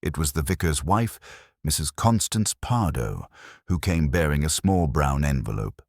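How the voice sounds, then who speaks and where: A man's voice: rumbling low, big pitch swings, measured pace, creaky, darkish tone, no room sound, clean studio sound. A man reading scripted narration of a detective mystery story.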